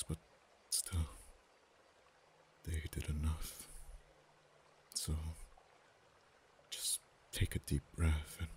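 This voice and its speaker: A man playing a deep, hushed dragon. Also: a little hiss or hum, full character voice. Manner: soft, hushed, often whispered. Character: protective male dragon in human form, tender yet teasing. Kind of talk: ASMR roleplay.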